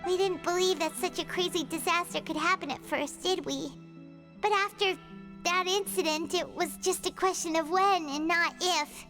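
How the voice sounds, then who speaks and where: A woman voicing a small, excitable childlike fairy companion in a video game, chatty and inquisitive, with a squeaky voice. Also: moderate pace. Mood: earnest, pondering. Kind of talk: animated video game voice acting. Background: music.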